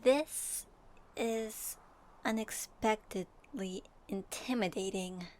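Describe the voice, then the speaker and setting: A woman playing an earnest, gently wry young traveler in an ASMR audio roleplay, soft and thoughtful. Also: mid-range voice, slow, lightly acted. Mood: confident. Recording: faint noise and ambience.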